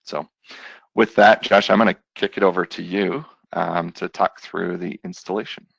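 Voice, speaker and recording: Brief: low, gravelly, husky male presenter; relaxed demo delivery, full of ums; dry, dull web-call sound. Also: moderate pace, no room sound. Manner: relaxed, many fillers and restarts. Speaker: software consultant giving a demo. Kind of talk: screen-share product demo.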